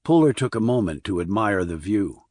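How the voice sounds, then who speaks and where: A man narrates a thriller audiobook in a fairly low voice. The recording is dry, with very little room sound, and the delivery is scripted storytelling. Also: expressive, normal speed, darkish tone, no room sound.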